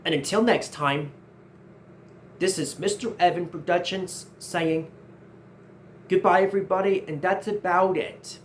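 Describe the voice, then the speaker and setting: A young man of nineteen with a notably high voice reads out viewer questions and answers them one by one. He talks earnestly, circling back to repeat and restart his phrases, with a faint hum of room ambience behind him. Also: measured pace, long pauses. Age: 19, nearly 20. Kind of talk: casual Q&A vlog.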